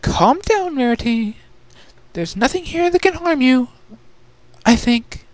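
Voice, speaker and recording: A dramatic, ladylike pony speaks in a very high, swooping falsetto, performed by a man. She is fussy and theatrical, given to scolding herself. It suits a cartoon-based storybook reading. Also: animated, slow, no echo, full character voice. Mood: nervous. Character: dramatic, fussy young female pony, gem-loving and ladylike.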